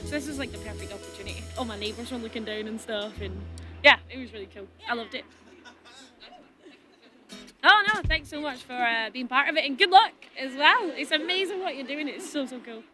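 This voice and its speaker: A woman's voice: high-pitched, fairly quick, sharp tone, faint music behind. A Scottish woman, a singer and songwriter, chatting casually in an interview with easy laughs and trailing fillers. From Scotland.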